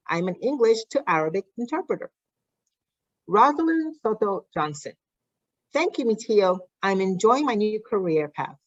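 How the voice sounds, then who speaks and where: A woman's voice: mid-range voice, fairly bright tone, no echo. Woman reading formally, dry dull recording. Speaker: announcer reading out graduates' names and messages. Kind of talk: formal scripted ceremony narration.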